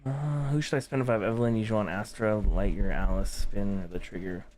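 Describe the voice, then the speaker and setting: Guy streamer chatting while he plays, voice a bit on the low side. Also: steady tone, brisk, darkish tone, soft background music. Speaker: video game streamer. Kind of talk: casual livestream gameplay chat.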